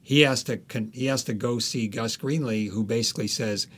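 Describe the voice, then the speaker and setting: A man speaking as an interviewed author, telling anecdotes conversationally and pausing to find his words. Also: medium pitch.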